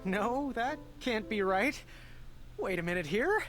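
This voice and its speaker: An earnest young male adventurer in an animated game. He speaks slowly and hesitantly, fumbling for words, with a very lively pitch over clear background music. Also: full character voice. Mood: earnest storytelling.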